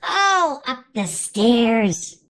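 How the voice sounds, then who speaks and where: A woman voicing a playful little boy bear cub in a storybook read-aloud, with excited, wide-swinging exclamations. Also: fairly high pitch, very slow, full character voice.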